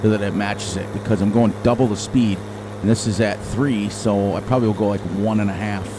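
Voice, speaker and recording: A middle-aged man with a loud voice, rambling and thinking aloud as he comments casually on his gameplay into a desk microphone. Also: fairly low pitch, fairly even intonation, a little fast. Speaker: gaming channel host. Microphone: desk microphone turned down low. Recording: game engine noise behind.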